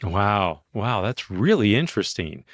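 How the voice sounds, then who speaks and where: Low-voiced relaxed male podcast host. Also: measured pace, dry recording, clean studio sound. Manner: relaxed, friendly, brief affirmations and follow-up questions. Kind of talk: casual interview chat.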